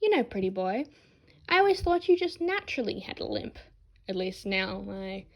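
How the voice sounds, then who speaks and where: A woman's voice: mid-range voice, big pitch swings, normal speed, darkish tone. A woman voicing a brash, sarcastic, bossy girl with a blunt, mocking manner in an audiobook-style fan story. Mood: teasing.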